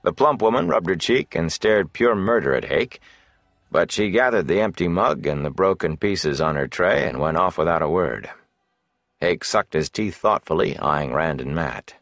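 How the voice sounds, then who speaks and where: Deep, gravelly male audiobook narrator. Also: expressive, moderate pace, fairly bright tone, no echo, clean studio sound. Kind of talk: scripted dramatic fantasy narration.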